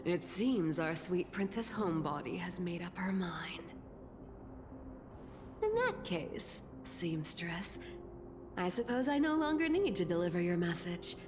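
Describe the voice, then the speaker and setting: A woman's voice: fairly low pitch, big pitch swings, measured pace, background noise. An actress playing a sly, aloof, teasing woman in a video game, with a wry, languid, superior manner. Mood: amused, aloof. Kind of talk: dramatic video game voice acting.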